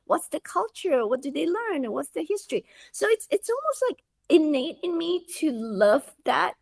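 A middle-aged woman from Malaysia, a guest talking in an animated, conversational way with many restarts. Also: high-pitched, moderate pace, gravelly, no room sound. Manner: animated, with frequent restarts and "you know". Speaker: guest, food subscription founder. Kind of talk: conversational interview.

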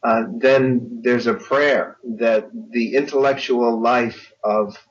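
An older man speaking as an interview guest, reflective and articulate, in measured conversational phrases. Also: medium pitch, fairly even intonation, distant mic, dull audio. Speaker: interview guest, audiobook narrator.

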